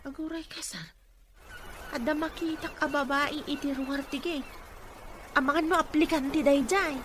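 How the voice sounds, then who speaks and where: A chatty, friendly housemaid acted by a woman with a high voice and a deferential manner. It is radio drama acting on a clearly noisy recording. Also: steady tone, moderate pace, close to an everyday voice.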